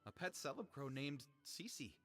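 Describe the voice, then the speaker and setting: Animated game role: bright, high-voiced young reporter, flustered and excuse-making, swooping intonation. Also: male voice, measured pace, long reverb tail. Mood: explaining. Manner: theatrical, stammering, hesitant excuses. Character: flustered, excuse-making young male columnist, sheepish and eager. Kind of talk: animated video game voice acting.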